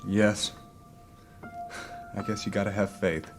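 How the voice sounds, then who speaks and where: A man's voice: lowish voice, fairly even intonation, slow pace, over music. An actor playing a brooding, haunted man of few words in a fantasy audio drama. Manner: terse, brooding.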